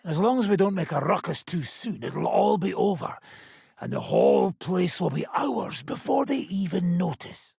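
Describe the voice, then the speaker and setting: A man voicing a gruff, sardonic middle-aged mercenary bandit in a video game, with a raspy, gravelly voice and a blunt, coarse, mocking manner. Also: high voice, no echo, studio quality, heavily acted.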